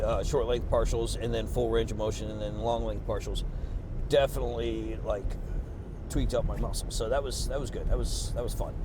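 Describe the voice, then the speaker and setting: A worn-out man talking casually after a workout, rambling and swearing with lots of fillers, on a clip-on mic under his jacket. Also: medium pitch. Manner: rambling, profane, with many fillers and restarts. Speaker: fitness vlogger. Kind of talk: casual post-workout vlog talk. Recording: noisy outdoor street recording. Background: traffic and street noise.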